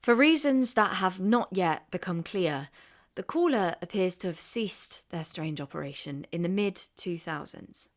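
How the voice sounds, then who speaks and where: An actress playing a curious young woman podcaster from the English Midlands, narrating in a measured, wry way and asking questions. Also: on the low side, animated. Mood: calm. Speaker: investigative podcast host. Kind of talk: scripted podcast narration and street interviews.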